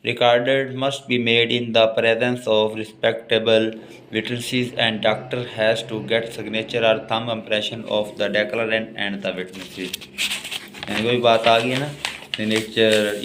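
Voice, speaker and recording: Slow, low-voiced Pakistani man lecturing. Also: fairly bright tone. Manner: slow, halting word-by-word when reading, plainer explanations between. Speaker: law lecturer. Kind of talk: lecture, reading notes aloud and explaining them.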